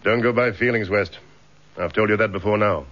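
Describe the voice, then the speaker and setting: Casting: male actor for suspicious, rule-bound British superintendent, low voice, curt radio drama style, slightly dull recording. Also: fairly quick, lightly acted. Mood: stern, disapproving. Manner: stern, sardonic, curt. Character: gruff, suspicious, by-the-book police superintendent, older superior.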